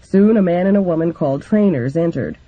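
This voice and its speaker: A man's voice: fairly high pitch, lively intonation, brisk. A man reading a memoir aloud as scripted narration, in a dull lo-fi recording. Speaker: reader of a book.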